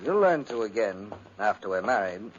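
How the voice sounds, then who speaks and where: Sinister, unstable gothic brother: low, volatile, theatrical; faintly hissy vintage radio recording. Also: male voice, very animated, moderate pace, dull audio. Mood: curt, menacing. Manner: melodramatic, swinging from curt to frantic. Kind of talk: 1950s radio drama acting.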